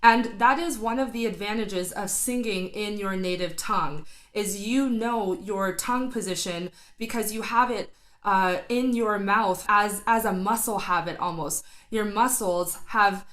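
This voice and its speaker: A woman's voice: mid-range voice, unhurried, fairly bright tone. A woman giving casual, enthusiastic reaction commentary as a vocal coach, breaking down a singer's technique and thinking aloud with fillers. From not Filipino; studied singing.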